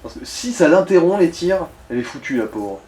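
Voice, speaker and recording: A man's voice: a little high, very animated, a little fast, darkish tone. A man chatting casually and crudely as he co-commentates a video game, full of slang and jokes. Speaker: let's play co-commentator. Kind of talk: casual gaming commentary chat. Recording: roomy, echoey sound.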